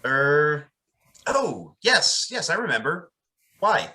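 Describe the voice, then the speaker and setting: An actor playing an earnest, deferential young pastor and family friend in an old-time radio drama, polite and hesitant. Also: male voice, on the high side, very animated, slow, pushed and bright, exaggerated voice. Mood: flustered, pleased.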